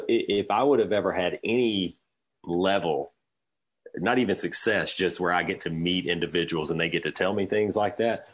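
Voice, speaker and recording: Deep-voiced man in his forties talking candidly. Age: 40s. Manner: candid, rambling with many restarts. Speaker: filmmaker guest. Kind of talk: conversational interview answers.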